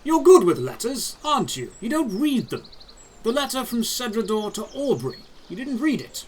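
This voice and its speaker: A man playing a calm, all-knowing old knight spymaster in a fantasy audio drama, measured and emotionless. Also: very high voice, a little hiss or hum, pitched above the performer's own voice. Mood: knowing, probing. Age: older. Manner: measured, emotionless, matter-of-fact.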